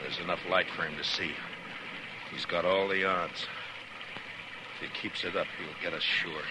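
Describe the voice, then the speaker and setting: A world-weary western marshal, played by a male actor in a 1950s radio drama. He is terse and commanding, with a slightly high male voice. The old recording is very noisy. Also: lively intonation, moderate pace, close to an everyday voice. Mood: tense, low. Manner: terse, commanding, plain-spoken. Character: steady, world-weary frontier marshal, adult man.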